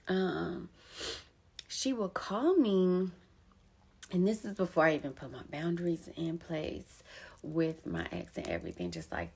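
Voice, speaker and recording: A woman's voice: lowish voice, creaky, darkish tone, slight background noise. A young woman telling her own story of betrayal in an emphatic, casual way with plenty of slang. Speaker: poet and storyteller. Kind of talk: candid personal storytelling.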